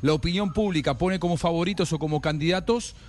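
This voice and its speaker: An Argentine man with a fairly high voice works as an interviewer on sports radio. His questions are conversational and carry a clear Argentine accent. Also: fast talker, darkish tone. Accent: Argentine Spanish.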